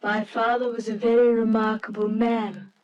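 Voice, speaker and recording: An actress playing a shy, earnest, lonely bookshop woman in an old-time radio drama, with a gentle, hesitant way of talking. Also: a little high, flat delivery, normal speed, dull audio, lightly acted. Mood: wistful, shy.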